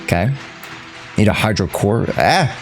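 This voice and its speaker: Animated young man livestreaming a game. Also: lowish voice, measured pace, faint music behind. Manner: playful, jokey, chatty. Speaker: game streamer. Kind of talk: casual live gaming commentary.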